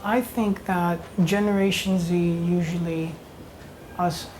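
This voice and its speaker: A man's voice: high-pitched, steady tone, slow, background noise. A young man from Italy speaking as a panelist in a casual round-table discussion, hesitant and searching for words.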